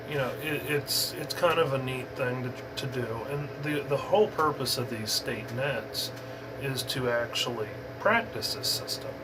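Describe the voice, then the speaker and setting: A man giving a casual, unscripted explainer in a halting, rambling, informal way. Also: mid-range voice, noisy background. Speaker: amateur radio hobbyist giving an introduction.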